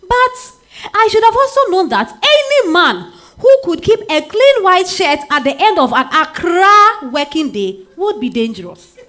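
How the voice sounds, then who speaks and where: A woman's voice: very high voice, unhurried, heavily acted. A Ghanaian woman playing a spirited married woman telling her love story in a dramatized stage reading, with conversational interjections. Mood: wistful, animated. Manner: expressive, conversational interjections like eh and hmm. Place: live literary festival stage reading.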